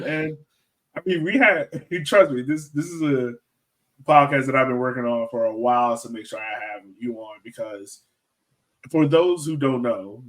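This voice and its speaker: A male podcast host with a medium-pitched voice chats informally with his guest. He sounds enthusiastic and uses plenty of slang, keeping the interview loose and conversational. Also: poor audio. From Jamaica.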